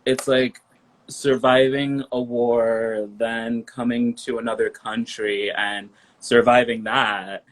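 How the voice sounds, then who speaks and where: A man in his thirties from Liberia speaks as an interview guest in a mid-pitched voice. He is upbeat and giggly, stringing his thoughts together with ums and likes. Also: level tone, takes it slowly, poor audio. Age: 30s. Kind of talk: casual interview chat.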